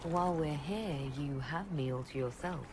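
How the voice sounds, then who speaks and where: An actress playing a caring, resolute young heroine in a video game, speaking tenderly and with composure. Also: female voice, sits low, normal speed, noisy background, close to an everyday voice. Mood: playful, fond. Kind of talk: dramatic video game dialogue.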